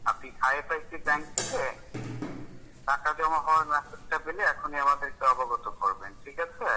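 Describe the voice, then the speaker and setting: A man's voice: fairly high pitch, moderate pace, over music. A man making an unscripted phone call as a caller posing as bank staff, giving instructions in an insistent, officious manner.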